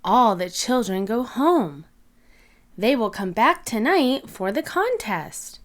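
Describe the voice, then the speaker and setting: A woman reading a picture book aloud to young children, expressive, with clearly separated phrases. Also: a little high, slow pace. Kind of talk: animated read-aloud storytelling for young children.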